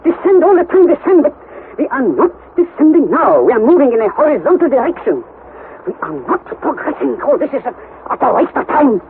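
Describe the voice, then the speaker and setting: Excitable old professor, very high-pitched. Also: male voice, a little fast, exaggerated voice. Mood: irritable, impatient. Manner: pedantic, exclamatory, irritable, repeating words emphatically. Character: excitable, impatient elderly professor uncle, obsessive naturalist. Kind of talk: vintage radio drama acting. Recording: tinny, band-limited vintage radio, faint noise.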